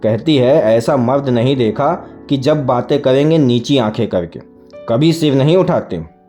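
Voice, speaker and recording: Man acting a wily, flattering village farmer. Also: medium pitch, unhurried, faint music behind, natural voice. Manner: ingratiating, folksy, rustic speech.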